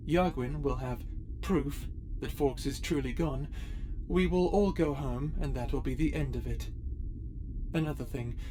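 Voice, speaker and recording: A man acting a stern, secretive starship captain in an audio roleplay, speaking in a measured, controlled and quietly menacing way. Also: mid-range voice, natural voice. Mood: quietly threatening. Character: stern, secretive male starship captain giving veiled threats. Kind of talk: scripted audio roleplay acting, addressing listener.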